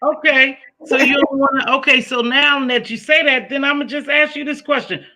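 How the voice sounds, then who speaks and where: A man's voice: extremely high pitch, steady tone, moderate pace, bright, forceful tone, rough recording. A man hosting a casual interview, chatty, telling stories and asking questions. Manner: chatty, storytelling, asks questions. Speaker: interview host.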